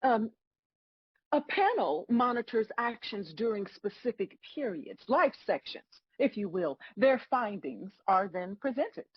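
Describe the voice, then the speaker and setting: A woman playing God as a wry, patient, bemused celestial judge, explaining things in a measured way at a table read of a comedy. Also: a little high, sing-song, breathy edge, no echo, dull audio, full character voice.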